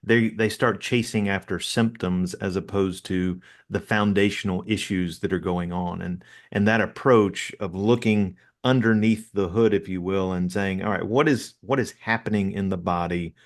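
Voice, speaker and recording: A man hosting an interview, responding warmly in conversation and asking follow-up questions. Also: low voice, fairly even intonation, moderate pace, few pauses. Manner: affirming, conversational. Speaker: podcast host. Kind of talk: casual interview talk.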